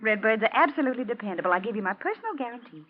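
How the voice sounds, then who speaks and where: A woman's voice: on the high side, sing-song, rapid-fire. A woman playing a self-assured, teasing young lady of a country estate, in 1940s radio acting style. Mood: playful. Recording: dull, noisy old radio recording.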